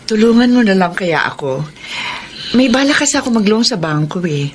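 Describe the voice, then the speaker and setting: A woman's voice: mid-range voice, animated, normal speed, slight background noise, natural voice. An actress playing a practical, consoling woman friend in a Filipino radio soap, reassuring and inquisitive, with a Filipino accent. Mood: persuasive.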